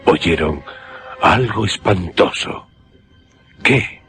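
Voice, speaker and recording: An extremely deep, slow Castilian voice narrates a horror radio play with portentous menace. Each phrase is drawn out over prominent music. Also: male voice, lively intonation, heavily acted. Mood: ominous. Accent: Castilian Spanish. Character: ominous narrator of a horror tale. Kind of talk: ominous scripted radio drama narration.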